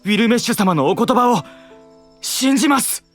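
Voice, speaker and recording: A devoted, boyish young attendant with a high, expressive voice. He speaks with polite, fervent loyalty in the heightened style of anime audio drama, over faint music. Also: moderate pace, full character voice.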